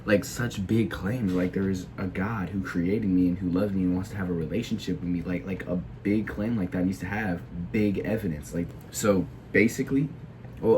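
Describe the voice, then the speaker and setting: Low-voiced teen boy, sick and halting. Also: darkish tone, slight background noise, poor audio. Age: teens. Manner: hesitant, heavy with filler words and restarts. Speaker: video creator. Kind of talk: casual opinion monologue.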